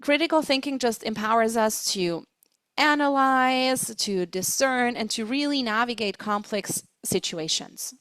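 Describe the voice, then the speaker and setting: A woman giving an explanatory webinar lecture as a trainer, speaking deliberately and stressing her key words. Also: a little high, a bit bright, no echo. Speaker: webinar presenter, interpreting trainer.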